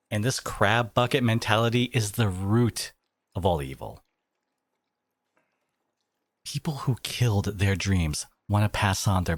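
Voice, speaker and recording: A man's voice: on the low side, no room sound, exaggerated voice. Guy playing protective boyfriend in asmr roleplay audio, sarcastic n emphatic, voice swings a lot, really dry close sound. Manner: emphatic, sarcastic, dramatic pauses. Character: protective devoted boyfriend, indignant, sarcastic, tender.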